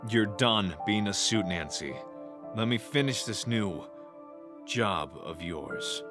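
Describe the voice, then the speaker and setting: Guy acting a stern stubborn cop in an audio drama, pitch jumps around a lot, music faintly under. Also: animated, slow pace. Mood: upset, stern. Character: protective male police detective and guardian, stern and stubborn.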